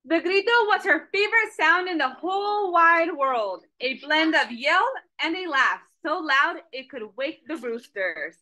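A young woman reading a picture book aloud to children on a video call, with a lively questioning manner. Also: sits high, measured pace, hardly any pauses, rough recording. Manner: animated, asks children questions, switches between English and Spanish. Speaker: storytime reader. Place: small office with a Mexico flag poster. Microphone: video call webcam.

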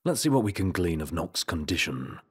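An actor playing a composed, proper male advisor in a video game, formal, measured and dry. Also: low voice, very animated, breathy edge, exaggerated voice. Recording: loud background music.

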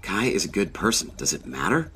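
A man's voice: low-pitched, very animated, a little fast, pushed and bright, slightly rough, heavily acted. A man playing a dry, guarded companion in an audio drama, with a laconic, teasing way of talking. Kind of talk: naturalistic audio drama acting. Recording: faint background noise.

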